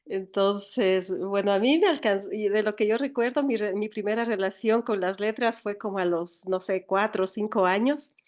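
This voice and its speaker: A woman's voice: medium pitch, moderate pace, few pauses, a little mellow. Female interview guest, Ecuadorian accent, mid-range voice, recounts things conversationally while feeling for the right word. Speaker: interview guest, writer and teacher.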